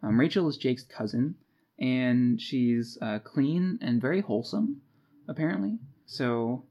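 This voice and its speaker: A man's voice: medium pitch, lively intonation, a little slow, mellow, dull audio. Man casually recapping a book, wry. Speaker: podcast co-host.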